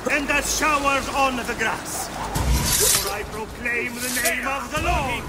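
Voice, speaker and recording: An actor playing a fiery, fearful town priest in a video game, declaiming grand warnings and scripture. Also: male voice, very high-pitched, measured pace, over loud music. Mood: exalted preaching. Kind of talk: dramatic sermon, video game acting.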